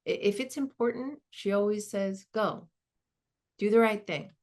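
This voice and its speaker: A woman playing a reflective, earnest professor in her sixties, in a halting, conversational stage monologue. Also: mid-range voice, a little mellow, lightly acted. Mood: grateful. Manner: halting, thoughtful, colloquial. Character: reflective, earnest 64-year-old woman, professor nearing retirement. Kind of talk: dramatized first-person monologue, conversational.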